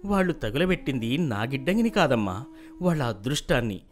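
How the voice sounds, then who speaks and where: A man's voice: medium pitch, sing-song, fairly quick, faint music behind, natural voice. A man voicing a humble, good-hearted young farmhand in a folk tale, earnest and respectful. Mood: earnest, gentle. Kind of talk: acted folk tale dialogue.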